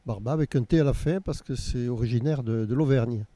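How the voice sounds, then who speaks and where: A man's voice: medium pitch, fairly quick, warm tone, no echo. An older man from Nice, the organizer of a local art exhibition, answering interview questions hesitantly with frequent repeats. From Nice, France.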